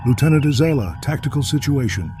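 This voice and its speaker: A man playing a decisive, measured starship captain giving orders in a science fiction drama. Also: medium pitch, animated, over music, lightly acted. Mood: commanding.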